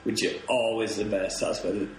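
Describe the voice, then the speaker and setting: A man's voice: fairly low pitch, level tone, a little fast. A young man, a touring musician, rambling through tour stories on a podcast, laughing and swearing freely. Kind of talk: casual podcast storytelling. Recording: roomy echo, faint noise.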